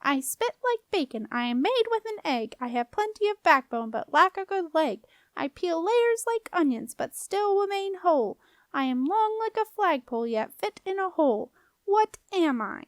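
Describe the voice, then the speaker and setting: A woman voicing a grumpy male doorkeeper from a storybook, with a squeaky high voice and a sneering, sing-song, chanting delivery. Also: sing-song, slow pace, no echo, clean studio sound, heavily acted. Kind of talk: storybook character voice.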